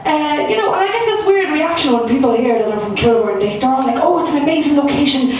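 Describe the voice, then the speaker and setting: A young Irish woman does a stand-up set in a club, with a fairly high voice and a loose, self-mocking delivery full of fillers. She sounds far from the microphone, and the room echoes heavily around her. A faint hum of room noise sits underneath. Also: animated, fast, darkish tone. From Ireland. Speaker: stand-up comedian. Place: comedy club stage.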